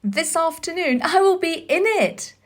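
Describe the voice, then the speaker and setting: Woman playing an excitable, high-pitched young boy. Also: measured pace. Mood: excited, hopeful. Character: excitable young boy invalid, imperious, full of wonder.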